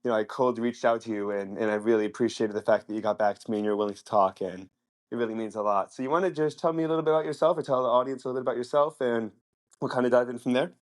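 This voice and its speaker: A man's voice: medium pitch, very fast. A man hosting a podcast interview, eager and enthusiastic, rambling with many fillers as he asks his questions. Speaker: podcast host.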